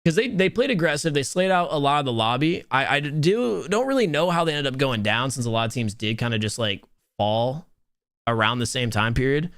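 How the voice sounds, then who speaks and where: A young man hosting and casting a live mobile game tournament, chatty and talking to his chat in a soothing, relaxed voice. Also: medium pitch, fairly quick, clear, resonant tone, no room sound, clean studio sound. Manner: chatty, talks to chat, restarts words. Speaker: tournament host and caster. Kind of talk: live stream esports commentary.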